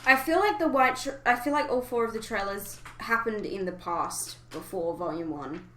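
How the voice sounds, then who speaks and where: A woman with a bright voice hosts a casual fan podcast. She talks informally and bluntly about a show. The recording sounds noticeably roomy and echoey. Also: medium pitch, expressive, moderate pace, a little hiss or hum. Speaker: podcast host. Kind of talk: casual fan discussion of an animated series.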